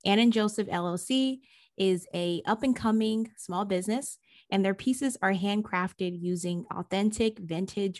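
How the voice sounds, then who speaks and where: A woman hosting a podcast, welcoming listeners and introducing her guest in an upbeat way. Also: mid-range voice. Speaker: podcast host. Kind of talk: podcast interview.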